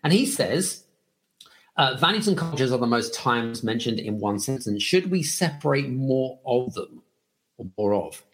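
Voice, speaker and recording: A man's voice: medium pitch, big pitch swings, unhurried. A man hosting a podcast, asking long, searching questions. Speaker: podcast host. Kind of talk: interview questions.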